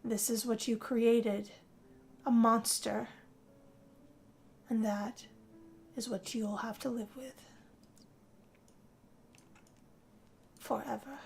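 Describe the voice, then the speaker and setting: Casting: newly turned vampire heroine played by a woman, proud and bitter, higher voice, measured theatrical narration for game story. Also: steady tone, gravelly, darkish tone, a little hiss or hum. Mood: cold, menacing. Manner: dramatic, deliberate. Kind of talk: scripted first-person story narration.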